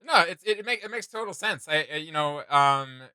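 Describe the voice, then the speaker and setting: A man's voice: fairly high pitch, animated, brisk, sharp tone, clean tone, no room sound. A man hosting a reaction video, chatting casually and with enthusiasm.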